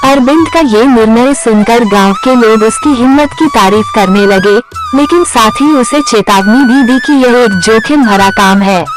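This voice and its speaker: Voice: medium pitch, a little slow. A storyteller reading a scripted moral tale in a narrating style. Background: background music.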